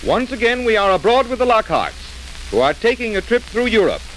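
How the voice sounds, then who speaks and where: A man's voice: sits high, sing-song, normal speed, fairly bright tone, slight background noise, poor audio. A man giving scripted narration in the style of 1930s radio. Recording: vintage radio recording with music.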